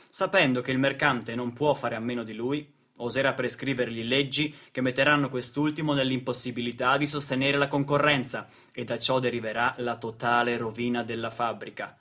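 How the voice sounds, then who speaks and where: A man narrating a historical radio theatre piece in a deliberate, declamatory way. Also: mid-range voice, fairly bright tone, natural voice. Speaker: stage narrator and actor.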